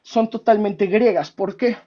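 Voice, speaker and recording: A man's voice: high-pitched, steady tone, unhurried, dark tone. A man with a Mexican accent argues in a heated debate, stacking repeated words and patronizing his opponent. His audio sounds somewhat dull and lo-fi. He calls himself a historian and keeps insisting on his point. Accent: Mexican Spanish.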